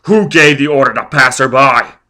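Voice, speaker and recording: A man's voice: lowish voice, measured pace, a bit bright. A man voicing a ruthless, vengeful man who barks demands and threats. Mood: shouting fury. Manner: menacing, barking demands. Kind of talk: audiobook character voice.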